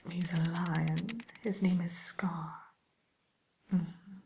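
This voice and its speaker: A softly whispering female matchmaker for villains, played by a woman in an ASMR roleplay. She takes her time, keeping a slightly low, breathy voice. Her manner is discreet and courteous, with hesitant tact and a gently dry edge. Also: fairly even intonation, drawn-out words, full character voice. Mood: tactful, wry.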